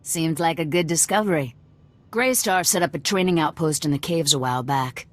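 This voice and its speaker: A very low-pitched female guerrilla commander, acted by a woman for a video game. She is blunt and fanatical, giving orders in clipped phrases with an open threat underneath. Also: normal speed, close to an everyday voice. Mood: hard, businesslike. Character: hard-bitten fanatical female rebel cell commander.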